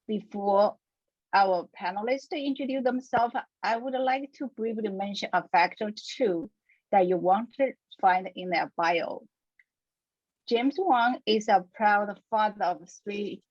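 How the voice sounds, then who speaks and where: A woman's voice: medium pitch, no room sound. Woman moderating over a dull call line. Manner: careful, with small restarts. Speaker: panel moderator. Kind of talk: introducing panelists on a webinar. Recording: dull, dry video-call audio.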